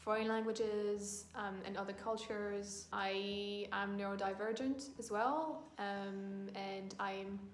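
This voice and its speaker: A middle-aged woman from France with a French accent, chatting thoughtfully through a book vlog with many pauses and restarts. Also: mid-range voice, fairly even intonation, a little hiss or hum. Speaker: book vlogger. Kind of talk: casual tag-video chat. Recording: echoey room with faint music.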